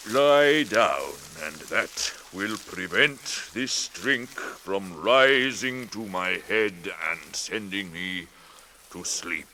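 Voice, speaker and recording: An actor playing an ancient, kindly tree-giant in a British radio drama, ponderous and musing, with old-fashioned phrasing and a temper that can be stirred. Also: male voice, sits low, pushed and bright, a little hiss or hum, exaggerated voice.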